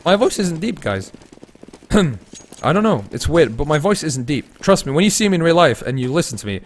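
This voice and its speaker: A young man casting an esports match, laid-back and tired between plays, rising to fast, excited calls when the action heats up. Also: on the high side. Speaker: esports caster. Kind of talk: live esports commentary mixed with chatting to viewers.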